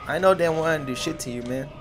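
A man's voice: fairly high pitch, fast. A young man doing casual gameplay commentary, slangy and foul-mouthed, often repeating himself. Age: young adult. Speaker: gamer, streamer. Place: small room indoors at home. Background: loud game audio and music.

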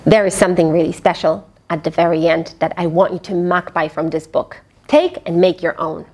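A middle-aged woman reading aloud to young children, expressive and animated. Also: on the low side, a little fast. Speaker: children's storyteller. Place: small fabric play tent indoors.

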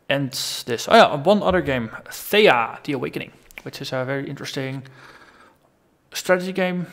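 A man hosting a gaming channel, talking through a long casual solo vlog, rambling and thinking aloud as his voice tires. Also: mid-range voice. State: voice tiring after a long recording.

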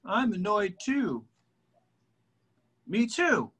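A man's voice: high-pitched, sing-song, drawn-out words, fairly bright tone, slightly rough, heavily acted. A man reading a goofy prankster bar regular in an amateur table read over a video call, teasing in a silly sing-song way.

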